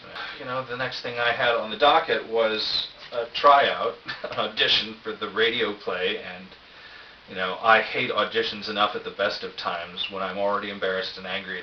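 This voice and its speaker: Middle aged guy rambling to a cheap camera, deep voice, hesitant n wry, kinda far off and echoey. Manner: hesitant, self-deprecating, wry. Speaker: vlogger. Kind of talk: casual rambling convention recap. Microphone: cheap camera, some distance away. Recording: roomy echo, dull cheap camera mic, hiss.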